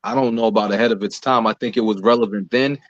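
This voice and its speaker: A man's voice: fairly low pitch, fairly even intonation, quick pace, no room sound. A man answering interview questions as a guest in a casual, conversational style. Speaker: interview guest, performer and writer. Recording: dull lo-fi dry audio.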